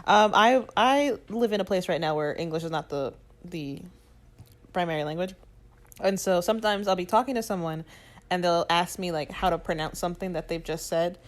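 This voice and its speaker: A young woman co-hosting a podcast, telling stories in a casual, animated way and laughing often. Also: fairly low pitch, moderate pace. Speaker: podcast co-host. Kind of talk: casual chat.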